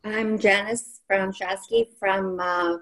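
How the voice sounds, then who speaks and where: A woman's voice: mid-range voice, steady tone, slow pace, fairly bright tone. A woman from Canada introducing herself casually as a participant on a video call. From Winnipeg, Canada. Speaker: meeting participant.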